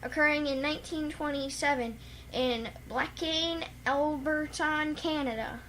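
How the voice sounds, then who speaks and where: A woman's voice: high-pitched, measured pace, fairly bright tone, slight background noise, rough recording. A woman co-hosting a radio show, reading out trivia and chatting casually.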